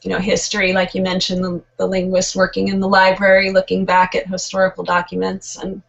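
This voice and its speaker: A woman's voice: medium pitch, completely flat delivery, brisk, vocal fry. A woman from California with a Californian accent, a student talking casually in an online discussion over a video call. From California, United States. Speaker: online course student, librarian. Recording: muffled lo-fi video call audio.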